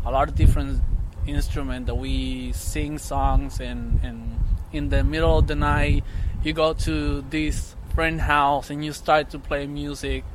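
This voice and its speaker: A man speaks in a fairly high voice, halting and repeating himself as he looks for English words. He is recorded outdoors on a city street, and clear traffic noise runs behind him. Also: little pitch movement. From Puerto Rico. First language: Spanish. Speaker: interviewee on the street. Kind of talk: casual chat.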